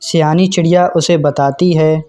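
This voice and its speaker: A man's voice: a little high, fairly even intonation, unhurried, warm tone, faint music behind. A man narrating a scripted children's cartoon story.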